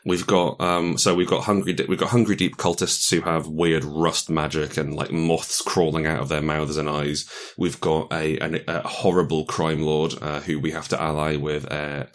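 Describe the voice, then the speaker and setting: A man's voice: rumbling low, flat delivery, normal speed, hardly any pauses. A man guiding a tabletop roleplaying session, thinking aloud casually and often repeating phrases as he works ideas out. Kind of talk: casual collaborative brainstorming.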